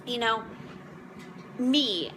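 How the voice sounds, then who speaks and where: A woman's voice: fairly high pitch, lively intonation, drawn-out words, noisy background. A woman in her forties chatting casually to viewers as a motivational vlog host, talking in a rambling, conversational way. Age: 40s. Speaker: motivational vlog host and food prep coach. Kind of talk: casual chat to camera.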